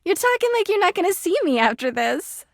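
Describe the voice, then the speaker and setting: A woman voicing a clingy, melodramatic young female laundry-hamper character in a video game, shrill and pleading. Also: sing-song, brisk, vocal fry, no room sound, studio quality, heavily acted. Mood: distraught, pleading.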